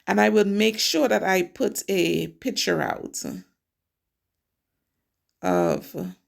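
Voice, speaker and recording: A middle-aged woman from Trinidad and Tobago talks through a nail tutorial in a loose, chatty way. She explains each step as she goes. Her voice carries a strong, gravelly fry at a middling pitch. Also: sing-song, slow pace. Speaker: nail technician. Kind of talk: casual nail tutorial narration.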